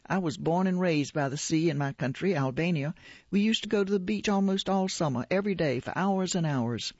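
A woman reading aloud as an older Albanian-born photographer recalling a sea rescue, in a plain steady way. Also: a little high, fairly quick, dry recording. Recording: dull lo-fi radio recording.

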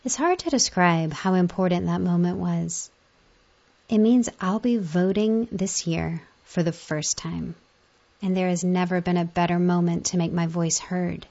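A woman's voice: fairly low pitch, unhurried, creaky, a little mellow. A woman reading magazine articles aloud, moving through the text and quotations as a reader. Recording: dull, muffled lo-fi audio.

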